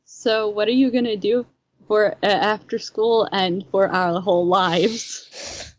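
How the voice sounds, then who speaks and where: Woman as dramatic, breezy high school girl, middle-pitched, slow delivery, amateur table read. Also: fairly even intonation, no room sound. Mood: dreamy. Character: breezy, dramatic teenage girl best friend.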